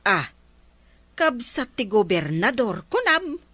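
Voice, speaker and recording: A man's voice: very high voice, sing-song, slow, pushed and bright, no room sound, full character voice. A man with an Ilocano accent playing an incredulous, mocking villager in a comedy drama.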